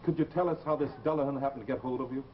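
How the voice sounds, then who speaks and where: Urgent, quick-talking young man played by an actor. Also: medium pitch, fairly even intonation, lightly acted. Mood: urgent, concerned. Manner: urgent, earnest. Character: anxious, insistent young man, devoted suitor. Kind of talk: 1950s live television melodrama acting. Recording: noisy, muffled old TV soundtrack.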